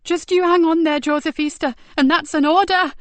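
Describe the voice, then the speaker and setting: A woman voicing a tough, fierce female police officer who speaks forcefully and urgently. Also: fairly high pitch, fairly even intonation, moderate pace, dry recording, lightly acted. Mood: pleading.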